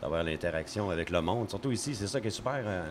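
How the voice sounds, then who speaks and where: A man, a local performer, chatting quickly in a casual podcast and laughing at his own jokes. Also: deep voice, lively intonation, background noise. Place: pub.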